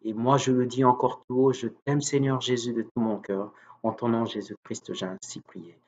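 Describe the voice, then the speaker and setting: A man's voice: mid-range voice, fairly even intonation, normal speed. A man who is a native Lingala speaker preaches informally on video, earnest and devout, hesitating and repeating words as he goes. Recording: dull, lo-fi sound.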